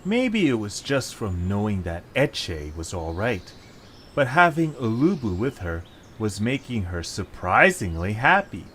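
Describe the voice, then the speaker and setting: Lower-pitched male story narrator. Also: big pitch swings, a little slow, fairly bright tone, a little hiss or hum.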